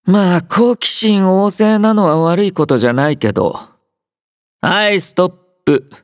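A young male android soldier from a video game, gentle and composed. He speaks softly and slowly, with wide, expressive swings in pitch and a drowsy, mildly teasing care. The voice is close and dry. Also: no room sound. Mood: playful, patient. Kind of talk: Japanese game character voice acting.

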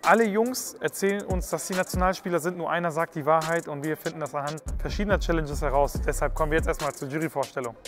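Energetic male host, high voice, faint music bed, lapel mic in a sports hall. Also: fast, hardly any pauses. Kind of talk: upbeat football show hosting and ad read. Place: indoor football hall.

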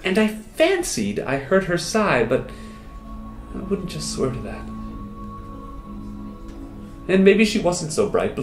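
A man in his thirties voicing a wistful, lovestruck wounded soldier in a theatrical, halting dramatic poetry recital with expressive pauses. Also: sits high, exaggerated voice. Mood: melancholy. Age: 30s. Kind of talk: dramatic poetry recital, first-person monologue. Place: small indoor room with hard walls. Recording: roomy echo, music behind.

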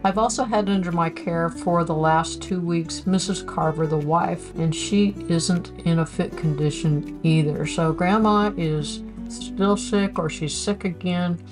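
Older woman chatting wryly over guitar music. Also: on the low side. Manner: chatty, reads documents aloud with wry asides. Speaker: family history channel host. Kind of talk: casual explanatory narration over documents. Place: home office with bookshelves.

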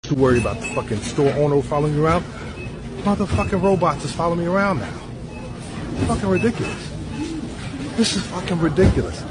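A man's voice: fairly high pitch, very animated, quick pace, long pauses. A man in an exasperated, profane rant recorded on a phone in a store, indignant and repeating himself. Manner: indignant, repetitive. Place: inside a large store. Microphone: phone at arm's length. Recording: loud noisy store background.

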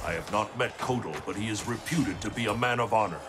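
A man playing a calm, noble guardian leader in a video game, speaking formally and with composure. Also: fairly low pitch, fast, lightly acted. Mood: resolute. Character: calm, noble male guardian leading his allies. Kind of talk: dramatic video game cutscene acting. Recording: loud music over the voice.

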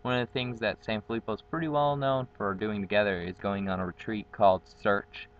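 A man's voice: on the low side, fairly even intonation, a little fast, highs cut off. A young man from Guatemala, a native Spanish speaker, introducing himself in a casual, upbeat vlog full of ums, filmed close on a webcam outdoors. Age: young adult. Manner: chatty, upbeat, many ums. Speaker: college dorm community facilitator. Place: outdoors on a patio with trees. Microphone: built-in webcam mic, close framing.

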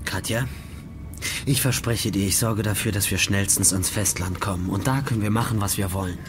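A man's voice: lowish voice, brisk, noisy background, natural voice. An actor playing an earnest, protective man who turns curt with others, in a crime audio drama. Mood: pleading. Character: protective, brusque man guarding a young woman.

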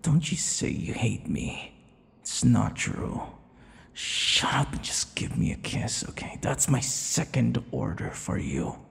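A man playing a possessive, cocky, menacing vampire captor in an ASMR roleplay, taunting in a breathy voice that often drops into whispers. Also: mid-range voice, big pitch swings, slow pace, fairly bright tone, big-room sound, full character voice. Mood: angry, domineering. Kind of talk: ASMR boyfriend audio roleplay.

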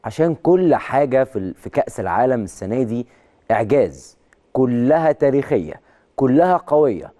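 A man in his thirties with an Egyptian Arabic accent and a hoarse voice, presenting sports news in a studio and talking emphatically, with excited peaks on key words. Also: medium pitch, a little fast. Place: large TV studio with video wall.

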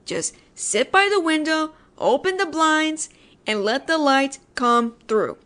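A woman acts out a judgemental female ghost haunting an apartment, in a somewhat high voice. She is sarcastic and exasperated, nagging the listener in a scripted audio roleplay, yet secretly cares. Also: animated, slow, fairly bright tone, heavily acted. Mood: bossy, coaxing.

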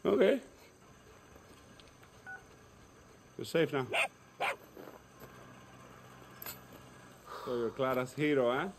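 Male actor as eccentric game rebel: cocky, teasing, animated delivery, noisy outdoor night. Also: medium pitch, a little fast, natural voice. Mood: probing, teasing. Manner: cocky, teasing, repetitive patter. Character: eccentric, playful, jumpy male guerrilla tinkerer. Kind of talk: video game cutscene acting. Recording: clear outdoor night noise, crickets.

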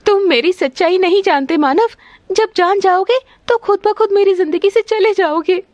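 A woman's voice: extremely high pitch, normal speed, dry recording, highs cut off, pitched above the performer's own voice. A woman voicing a distressed young serpent's daughter in a cartoon story, pleading and plaintive. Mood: anguished.

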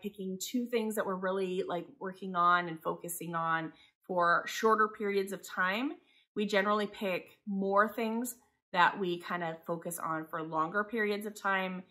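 A woman's voice: on the low side, sharp tone. A woman in her forties from Taiwan, a homeschooling mother talking in a casual, rambling, conversational vlog style. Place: living room by a window, indoors.

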